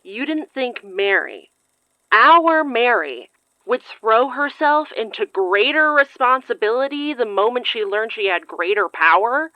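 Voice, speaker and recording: Sharp-tongued young woman, dry muffled sound. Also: a little high, animated, unhurried, dry recording. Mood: sarcastic, stressed. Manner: sarcastic, swearing, exasperated. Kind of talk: audio drama acting.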